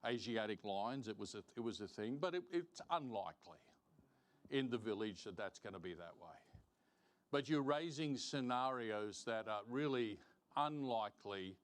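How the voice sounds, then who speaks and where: A man teaching a Bible lesson as a preacher, deliberate and emphatic, repeating phrases for stress and reading out verses. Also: lowish voice. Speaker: preacher teaching Sunday school. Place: church, live-streamed service.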